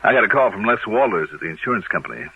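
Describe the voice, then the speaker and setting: A man's voice: lowish voice, very animated, racing, vocal fry, slightly rough, no echo, heavily acted. An actor playing a shrewd American insurance investigator who narrates his case in clipped hard-boiled style, in an old radio drama. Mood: guarded questioning. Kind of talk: 1950s radio detective drama acting and narration. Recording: muffled old radio recording, loud music.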